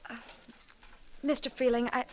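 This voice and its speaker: Actress as high-voiced, earnest young woman. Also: flat delivery, darkish tone, lightly acted. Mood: shy pleading. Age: young adult. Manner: polite, halting, pleading. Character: earnest, shy young woman seeking fatherly approval. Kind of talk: 1940s-50s radio drama acting. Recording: old radio recording with music underneath.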